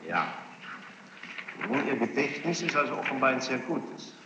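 A man's voice: medium pitch, sing-song, moderate pace. A man formally questioning a witness in court, insistent and probing, often repeating answers back. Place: large reverberant courtroom. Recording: muffled, noisy, echoey archival courtroom tape.

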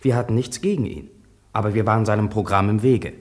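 A man's voice: on the low side, fast talker, lightly acted. An actor playing a farmer's son in a radio drama, soberly recounting his family's past in plain, earnest sentences. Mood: sober, recollecting. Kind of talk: radio drama first-person narration.

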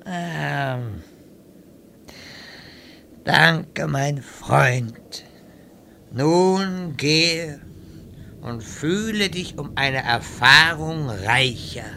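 An actor playing a haughty, grandiose wizard in a fantasy parody audio play, speaking with condescension. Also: male voice, a little high, drawn-out words, gravelly, long pauses, bright, forceful tone, slight background noise, heavily acted. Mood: condescending. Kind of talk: audio comedy parody acting.

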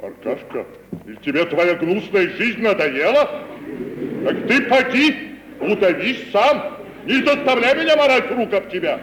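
Grandiose, wildly swooping tragedian. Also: male voice, high-pitched, very animated, measured pace, a bit bright, heavily acted. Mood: menacing. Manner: declamatory, pompous, theatrical. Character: grandiose, hot-tempered, wounded provincial tragedian actor, male. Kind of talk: 1950s Russian stage radio acting. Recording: reverberant, hissy 1950 radio recording.

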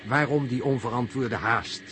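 An actor playing a serious, analytical space trainee in a 1960s radio drama, speaking formally and in measured, lecturing phrases. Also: male voice, medium pitch, fairly bright tone, close to an everyday voice. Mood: earnest, probing. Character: serious, analytical male space pilot trainee pondering a mystery. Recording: slightly noisy early-1960s radio recording.

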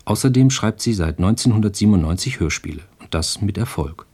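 Male radio presenter, deep voice with some rasp, scripted introduction. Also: expressive, fairly quick, darkish tone.

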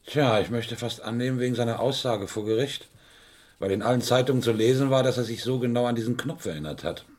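A man playing a brisk, commanding police inspector, businesslike and terse, in a German radio crime play. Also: medium pitch, a little mellow, close to an everyday voice.

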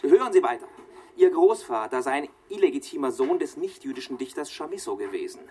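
A man's voice: medium pitch, big pitch swings, brisk, a little mellow, slightly rough. A man playing a precise, needling researcher in a radio play, insistent and pedantic as he presses his revelations. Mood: pedantic, triumphant.

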